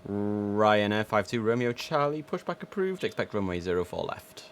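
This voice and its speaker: A man's voice: fairly low pitch, very animated, normal speed. A young man streaming an air traffic control game, chatty and enthusiastic with lots of fillers, sniffly from hay fever. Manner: chatty and enthusiastic, many fillers and self-corrections. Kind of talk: casual live-stream commentary.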